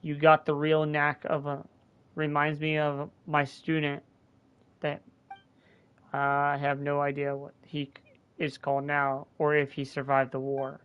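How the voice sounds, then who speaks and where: A man's voice: on the high side, monotone, measured pace, clean tone, dull audio, close to an everyday voice. A man voicing a weary, guilt-ridden old scholar of gods, reading earnestly with small restarts. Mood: musing.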